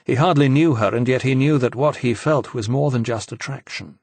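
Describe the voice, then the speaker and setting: A man's voice: medium pitch, moderate pace, darkish tone, no echo. A man narrating fiction from a script as an audiobook reader.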